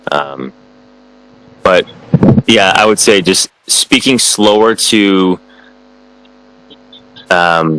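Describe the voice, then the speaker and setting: A man's voice: sits low, fairly bright tone, rough recording. An American man answering students' questions in a casual online chat, hesitant and full of fillers and restarts. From United States. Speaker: guest speaker answering students.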